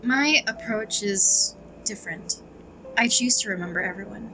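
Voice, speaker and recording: A woman playing a gentle, earnest, thoughtful young character in a video game. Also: mid-range voice, moderate pace, close to an everyday voice, rough recording. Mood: earnest, wistful. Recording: game music behind the voice.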